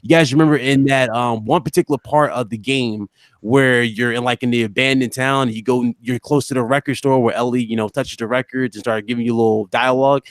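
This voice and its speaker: A man's voice: mid-range voice, fairly even intonation, fairly quick, dry recording. A man hosting a casual gaming livestream, chatting informally and teasing his co-hosts as he talks to the audience. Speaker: host of a gaming podcast livestream. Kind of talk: casual live-stream chat and news commentary.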